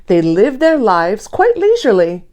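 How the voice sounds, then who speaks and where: A woman reading a children's picture book aloud expressively, with deliberate storyteller phrasing. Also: mid-range voice, wildly swooping pitch, darkish tone, dull audio. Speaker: read-aloud host.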